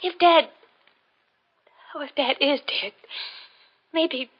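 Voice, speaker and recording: An actress playing an anxious young woman, a worried daughter, speaking haltingly in 1940s radio mystery style. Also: high voice. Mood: distraught, hesitant. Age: young adult. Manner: hesitant, halting, fretful. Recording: muffled vintage 1940s radio recording.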